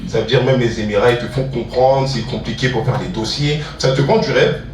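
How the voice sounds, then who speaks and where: A man's voice: mid-range voice, brisk, slight background noise. Slangy man in a roomy hall. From Ivory Coast. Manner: slangy, emphatic, repeats phrases for stress. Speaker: music producer and entrepreneur, guest speaker. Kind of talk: informal public question-and-answer talk. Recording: roomy hall, distant mic, audience murmur.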